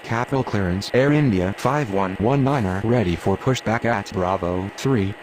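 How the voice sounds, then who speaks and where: A man voicing a steady, official airport radio operator in a game, using clipped phraseology. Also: fairly low pitch, moderate pace, slight background noise, natural voice, low-quality recording.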